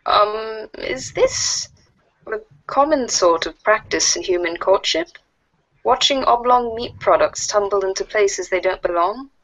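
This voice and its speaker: A woman plays a curious alien troll girl, puzzled by humans. The voice is slightly rough yet lively in pitch, and the phrasing is formal, wordy and stilted, as read in an amateur webcomic drama. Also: measured pace, rough recording. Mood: inquisitive. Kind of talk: amateur reader's theater of a webcomic.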